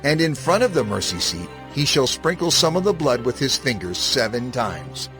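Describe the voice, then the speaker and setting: Expressive male scripture narrator over music. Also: medium pitch. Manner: measured, formal. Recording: prominent music bed under narration.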